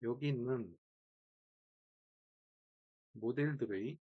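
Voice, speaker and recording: A man's voice: medium pitch, very slow, breathy edge. A man presenting an informal screen-share tutorial, thinking aloud in short fragments and repeating key words, recorded with dull sound. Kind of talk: informal screen-share demo, talking through steps.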